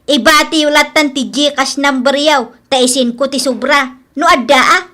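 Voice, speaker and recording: A stingy, quarrelsome older landlady, acted by a woman in an Ilocano-accented radio drama. Her voice is high and piercingly bright. She brushes people off stubbornly and is quick to argue. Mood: defensive, irritated.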